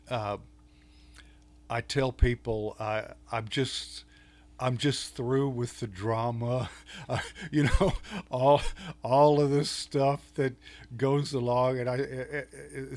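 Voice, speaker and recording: An older man from Texas with a Texan accent, a guest on an interview show, talking in a halting way with many fillers and restarts. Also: medium pitch. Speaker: podcast guest, author. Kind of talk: casual interview talk.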